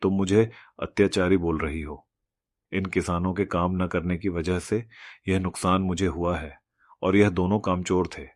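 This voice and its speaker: A man's voice: deep voice, fairly even intonation, a little slow, no echo, clean studio sound. A man playing a cruel, stubborn, miserly rich village landowner in a moral cartoon story, with a harsh, scolding and domineering manner. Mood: stubborn, indignant.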